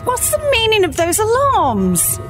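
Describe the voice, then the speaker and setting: An actress playing an indignant, imperious company representative making demands in a science fiction audio drama. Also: female voice, extremely high pitch, wildly swooping pitch, a little slow, exaggerated voice. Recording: music behind the voice.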